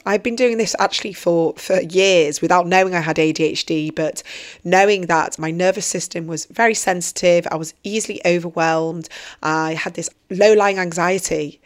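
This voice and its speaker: A hoarse woman, earnestly introducing her podcast as host and coach. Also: lowish voice. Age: 40s. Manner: earnest, reflective, personal. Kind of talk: solo podcast trailer monologue.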